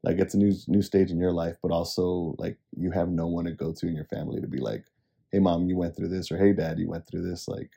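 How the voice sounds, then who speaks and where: Young man, Spanish native, podcast co-host, relaxed chatty storytelling, very dark tone. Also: deep voice, fast, gravelly, husky. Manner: laid-back storytelling, many "like"s. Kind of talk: casual chat.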